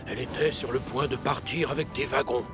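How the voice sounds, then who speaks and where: A man voicing a calm, kindly steam engine in a children's cartoon. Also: mid-range voice, fairly quick, music in the background, lightly acted. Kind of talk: children's cartoon dub acting.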